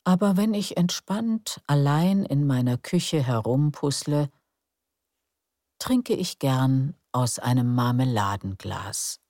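Woman narrator, bedtime story, very deep voice, warm and mellow, soothing, dry close mic. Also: expressive, no echo. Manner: slow, soft and soothing.

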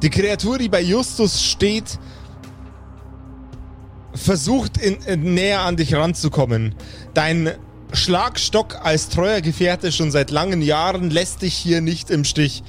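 A man's voice: sits high, takes it slowly. A man narrating an improvised tabletop game as game master, describing the action in detail as he makes it up. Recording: music playing behind the voice. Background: background music.

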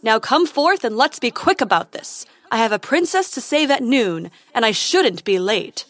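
A woman voicing a pompous young male prince who calls out loudly. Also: animated, normal speed, lightly acted. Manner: loud, high, declaiming.

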